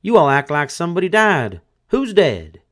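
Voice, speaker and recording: A man's voice: fairly high pitch, big pitch swings, normal speed, pitched above the performer's own voice. A man reading aloud and voicing a cheerful dead man who refuses to stay in his grave. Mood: puzzled. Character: cheerful undead man, dancing skeleton.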